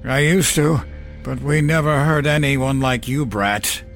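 A man's voice: medium pitch, a little slow, vocal fry, a bit bright, faint music behind, voice pushed up. A man voicing an indignant, defiant old homeowner under attack in a horror story. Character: defiant old man, injured homeowner standing his ground.

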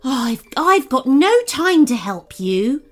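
A woman's voice: sits high, wildly swooping pitch, takes it slowly, soft background music, pitched above the performer's own voice, full character voice. A woman voicing a haughty, irritable female unicorn in a children's story, snapping and complaining sharply. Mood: snappish.

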